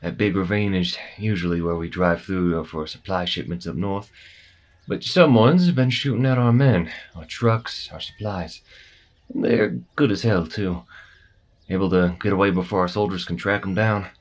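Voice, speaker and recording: Man as a deep-voiced, easygoing checkpoint soldier. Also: normal speed. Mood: helpful. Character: easygoing, matter-of-fact male soldier at a military checkpoint. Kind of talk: audio roleplay acting.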